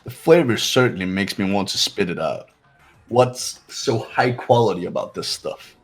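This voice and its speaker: Male player reading a game script aloud: low, husky, calm and measured, with music underneath. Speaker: player voicing a game character.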